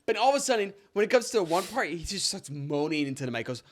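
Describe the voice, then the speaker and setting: Animated young man telling stories. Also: fast, very noisy. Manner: animated, rising to high-pitched excitement in stories. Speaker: podcast co-host. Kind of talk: casual storytelling chat.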